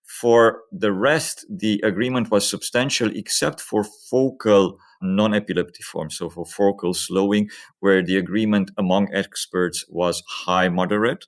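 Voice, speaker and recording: Low-voiced male researcher explaining methodically. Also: fairly even intonation, measured pace, few pauses. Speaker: medical researcher being interviewed. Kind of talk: explanatory interview talk on research.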